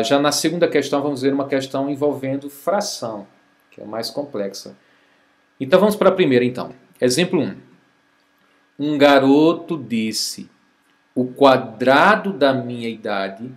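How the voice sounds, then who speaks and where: A Brazilian man teaching a recorded math review lesson, explaining step by step and checking in often with the class. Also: mid-range voice. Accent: Brazilian Portuguese. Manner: slow, patient explaining with frequent check-ins.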